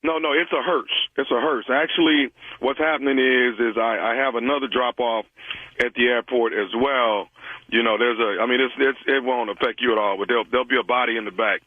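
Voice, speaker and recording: A man's voice: mid-range voice, steady tone, no echo, pitched below the performer's own voice, close to an everyday voice. Guy pranking as polite hesitant male driver, stumbly reassuring talk, dull dry phone sound. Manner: halting, matter-of-fact, reassuring. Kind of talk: improvised prank phone call.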